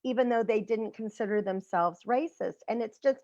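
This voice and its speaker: A woman from Central Texas who hosts the interview, talking and asking questions in a relaxed way over a video call. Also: fairly high pitch, moderate pace, no echo, muffled recording. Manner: talkative, asks questions. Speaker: host. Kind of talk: casual interview chat.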